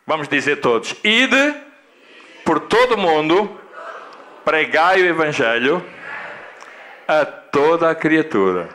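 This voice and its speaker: A middle-aged man from Angola with a European Portuguese accent, preaching a sermon as a guest pastor. He speaks slowly and deliberately, repeats key phrases, and builds to emphatic peaks. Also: fairly high pitch, very animated, pushed and bright, slight background noise. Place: church hall.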